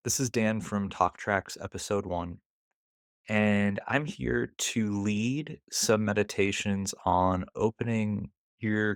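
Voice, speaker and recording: A man leading a guided meditation, speaking deliberately in short phrases with pauses between them. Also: fairly low pitch, no echo, clean studio sound.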